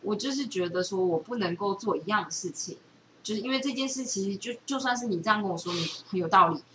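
A woman's voice: medium pitch, fast talker, bright, forceful tone, far from the mic, slight background noise, low-quality recording. A woman with a Taiwanese accent chatting casually as a co-host, dropping English words into her talk. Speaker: podcast co-host. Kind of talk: casual chat.

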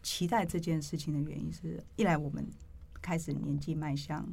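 A woman's voice: deep voice, steady tone, normal speed, vocal fry, darkish tone, breathy edge. A middle-aged woman with a Taiwanese accent, interviewing conversationally in articulate, discursive turns.

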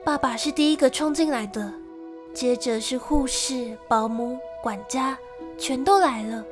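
An actress playing a lonely young gentlewoman who tells of a frightening childhood in an emotive radio drama style. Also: high voice, a little slow, over music. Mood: melancholy. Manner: storytelling, emotive.

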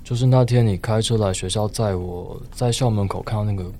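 A man's voice: lowish voice, fairly quick, dark tone, slight background noise, natural voice. A young man with a Taiwanese accent acting a lovestruck, teasing student in an audio drama. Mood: fond, wry. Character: lovestruck teasing male student narrating from hospital. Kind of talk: audio drama narration and dialogue. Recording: roomy echo, music behind.

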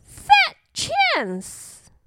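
Storybook bully bird played by a woman: high, screechy, scoffing, swooping wildly in pitch. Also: big pitch swings, extremely slow, noisy background, full character voice. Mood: scornful. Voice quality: screechy, shrieking. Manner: scoffing, blustering.

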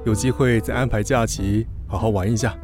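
A man playing a calm, easygoing, helpful businessman in an audio drama, unhurried and drily understated. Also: lowish voice, over music, close to an everyday voice. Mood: relaxed.

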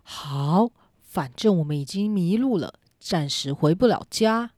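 Earnest young boy for a storybook drama, played by a woman, low and mellow. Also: lively intonation, unhurried, no room sound, studio quality.

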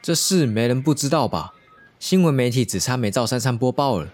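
Brief: male actor as junior cop, casual and sharp-witted, animated intonation, faint music, radio drama. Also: mid-range voice, a little fast, lightly acted. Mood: curious, casual. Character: quick-witted male junior police detective, casual and inquisitive.

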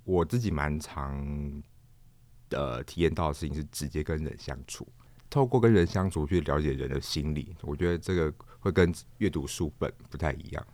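Low-voiced Taiwanese man, halting guest. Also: a little mellow. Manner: halting, repeats words while thinking. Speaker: interview guest, stage performer. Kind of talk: casual conversational interview answers.

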